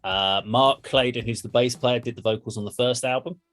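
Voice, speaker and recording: A man's voice: medium pitch, brisk, a bit bright. A man hosting a casual music discussion, explaining things in an informal, sweary way. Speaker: music reaction show host.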